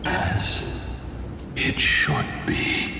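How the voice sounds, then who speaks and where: A man's voice: very slow, heavy reverb, big-room sound, music in the background. A man voicing an ancient, solemn guardian in a video game, speaking in a grave, formal manner. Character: ancient solemn male guardian bound by pact.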